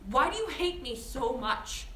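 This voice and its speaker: A woman at a screenplay table read playing a popular, bossy and sarcastic high school girl. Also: big pitch swings, unhurried. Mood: angry. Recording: echoey room with faint noise.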